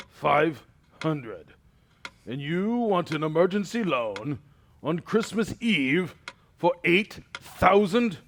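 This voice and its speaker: Slow, sneering old miser acted by a man. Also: on the high side, sing-song, gravelly, slight background noise, exaggerated voice. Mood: gloating scorn. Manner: slow, mocking, condescending, chuckling menace. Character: cruel rich old miser, sneering and gloating. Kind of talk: amateur radio drama acting.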